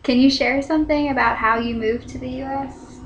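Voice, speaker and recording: A woman interviewer asking plain questions in a soft-spoken way. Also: sits high, fairly even intonation, moderate pace, lo-fi sound, noisy background. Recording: roomy echo.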